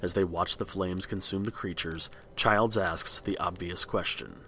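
A man's voice: low-pitched, fairly even intonation, a little slow, bright, forceful tone, a little hiss or hum. A man reading a scripted storybook narration for a read-along record.

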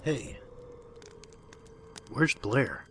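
An actor playing a grim, suspicious leader of an isolated camp in a horror film, speaking in terse, menacing statements. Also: male voice, mid-range voice, sing-song, extremely slow, a bit bright, a little hiss or hum, exaggerated voice. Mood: alert.

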